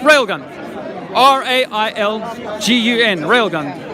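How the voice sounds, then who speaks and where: Man, fifties, high voice, swears a lot, rambling street talk, crowd chatter around. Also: lively intonation, takes it slowly. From South Africa. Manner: profane, rambling, insistent. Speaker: vlogger, army veteran. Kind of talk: street-corner conspiracy monologue. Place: outdoor public speaking park. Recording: outdoor crowd noise behind.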